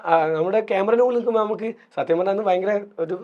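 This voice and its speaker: A man's voice: high-pitched, very fast, phone-quality sound, crowd noise. A man, chatting casually as a guest in an interview. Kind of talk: casual chat.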